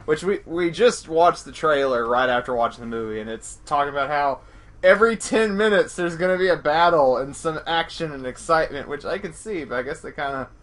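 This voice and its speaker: A man's voice: fairly high pitch, brisk, a bit bright. A man co-hosting a podcast, talking through a film in a casual, rambling, self-deprecating way. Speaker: podcast co-host.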